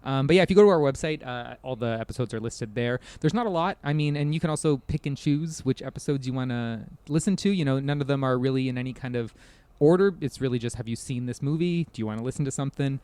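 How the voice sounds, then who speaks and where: A man talks casually as a guest on a podcast, in a middle-pitched voice. He rambles and hedges in a self-deprecating, chatty way. The sound is very dry and close. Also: fast, few pauses, warm tone, clear, resonant tone, dry recording.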